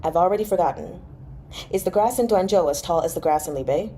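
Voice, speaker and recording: A woman's voice: on the low side, animated, fast, dark tone, slight background noise, close to an everyday voice. A woman reading the part of a devoted, restless young nobleman in a storybook manner. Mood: tender, wistful. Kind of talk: expressive audiobook-style novel reading.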